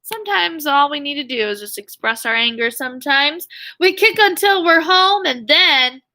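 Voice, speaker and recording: A woman's voice: sits high, lively intonation, normal speed, pushed and bright. A woman teacher reading a story aloud to young children, expressively, with questions and asides. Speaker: elementary school teacher.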